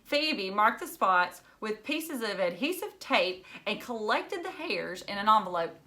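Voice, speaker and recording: A woman narrating a children's novel in an expressive read-aloud style. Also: mid-range voice, a little slow. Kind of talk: expressive storybook reading.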